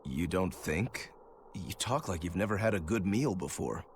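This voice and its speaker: A man's voice: low-pitched, expressive, normal speed, slight background noise. An actor playing an easygoing, teasing male demon swordsman who likes his drink, in a video game. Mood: curious. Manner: wry, teasing, laid-back. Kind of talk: English video game voice acting.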